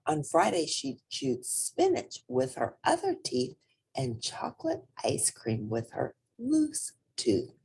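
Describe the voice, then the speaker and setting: A woman's voice: low-pitched, huge pitch swings, slow, gravelly, a little mellow, breathy edge. A woman reading a children's storybook aloud expressively, speaking directly to young listeners. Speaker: storybook reader.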